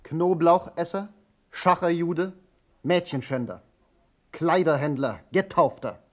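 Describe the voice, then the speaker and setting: An actor playing a humourless, pompous patriotic gentleman in a German radio play, stiffly formal and outraged. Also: fairly high pitch, slow pace. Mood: contemptuous.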